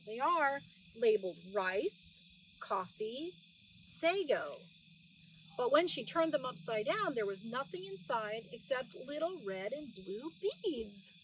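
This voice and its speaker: A middle-aged woman narrates a children's storybook in a fairly high, highly expressive voice. She speaks simply, as if to young children. The recording sounds slightly distant, with faint noise behind her. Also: takes it slowly. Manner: animated, explaining things simply for young children. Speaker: children's read-aloud narrator. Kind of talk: expressive storybook reading with plain asides to explain words.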